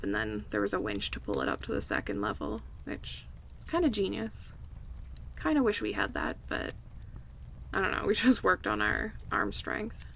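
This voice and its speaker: A woman playing an affectionate, chatty friend in a casual, intimate ASMR roleplay, speaking softly and in a relaxed way. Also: mid-range voice, creaky. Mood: fondly reminiscing. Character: affectionate young woman, cozy longtime friend hosting a night in. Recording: faint background ambience noise.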